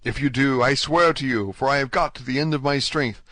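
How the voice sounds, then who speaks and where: A desperate young prisoner begs with fervent urgency, as a man reads him aloud. His pleas rise a little higher than the narration around him, in a dry, close voice. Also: brisk, a bit bright, no room sound, natural voice. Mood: pleading. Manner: fervent, imploring. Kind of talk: audiobook reading.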